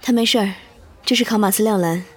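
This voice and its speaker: A calm female doctor played by an actress speaks at a middle pitch in an audio drama. She is clinical and explanatory, with faint ambient noise around her. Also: fast talker, natural voice. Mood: clinical, reassuring. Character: matter-of-fact female doctor, calmly explaining.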